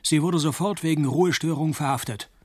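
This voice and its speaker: An actor playing a cynical, wisecracking male private detective in a radio play, narrating and talking in a dry, laconic deadpan. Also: a little high, brisk, dry recording, close to an everyday voice. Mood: dry, sardonic.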